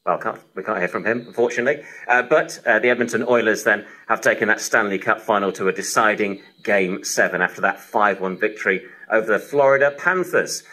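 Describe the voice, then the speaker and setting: Bright, forceful male sports radio presenter. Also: fairly low pitch, brisk, few pauses, poor audio. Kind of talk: live sports news presenting. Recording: shortwave radio broadcast reception.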